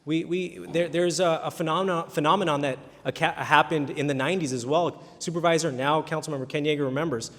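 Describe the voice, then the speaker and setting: A man's voice: a little high, steady tone, quick pace, hardly any pauses, fairly bright tone. A man answering questions at a candidate forum, earnest, often restarting his words. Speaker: city council candidate. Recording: reverberant hall.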